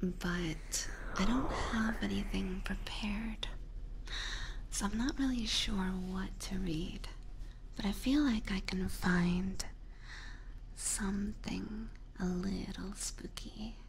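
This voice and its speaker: A woman livestreaming ASMR, chatting gently to her viewers in a soft, breathy whisper close to a binaural microphone. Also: medium pitch, takes it slowly, noisy background. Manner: gentle, chatty, giggly, addressing chat.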